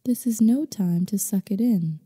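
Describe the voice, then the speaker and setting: A woman guiding a meditation in slow scripted narration, with a soothing, unhurried, gently instructing manner. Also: medium pitch, breathy edge. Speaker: meditation guide. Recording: long reverberant hall-like echo.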